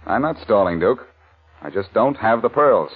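An actor playing a cocky, unflappable amateur sleuth in 1940s American radio style, glib and sardonic with constant wisecracks. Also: male voice, lowish voice, expressive, normal speed, breathy edge. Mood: cool, wry. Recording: muffled narrow-band vintage radio recording.

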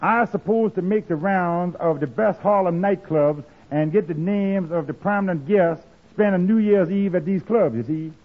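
An actor playing a polite, wheedling friend with folksy, hesitant, roundabout talk in 1940s radio comedy style. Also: male voice, high voice, normal speed, few pauses. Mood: explaining. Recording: tinny old radio broadcast.

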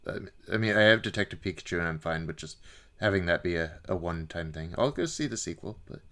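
A man's voice: low-pitched, expressive, fast, bright, forceful tone. A man co-hosting a casual film podcast, talking in an easygoing, somewhat hesitant way. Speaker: podcast co-host.

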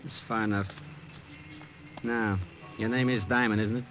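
A man's voice: lowish voice, level tone, normal speed, natural voice. An actor playing a terse, threatening gunman in a 1950s radio crime drama, giving clipped commands. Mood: menacing. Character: male gunman, terse, menacing stick-up man. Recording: muffled, noisy old radio recording.

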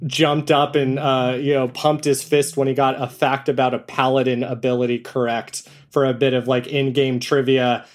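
Guy being interviewed on a podcast, regular pitch, chatty and informal, kinda animated storyteller. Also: normal speed, few pauses. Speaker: podcast editor and audio drama creator, interview guest. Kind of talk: casual interview chat, telling anecdotes.

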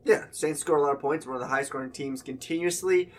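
A man's voice: on the high side, quick pace. A man from the Chicago area co-hosting a sports podcast, laid-back and dry, a bit hesitant as he talks. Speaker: podcast co-host, stats segment. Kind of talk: casual sports chat.

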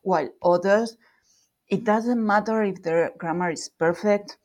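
A woman's voice: mid-range voice, measured pace, darkish tone. A woman with a Spanish accent, a researcher being interviewed, explaining her work thoughtfully with fillers and restarts. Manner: thoughtful, with fillers and self-corrections. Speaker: guest researcher.

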